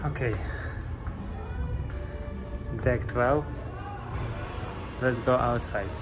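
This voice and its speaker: A man giving a casual walking tour of a cruise ship on a handheld phone, speaking simple, halting English with fillers. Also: medium pitch, steady tone, over loud music. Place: outdoor decks and indoor restaurants of a cruise ship. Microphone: handheld phone camera. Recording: loud wind and ship noise. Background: loud wind and ship ambience.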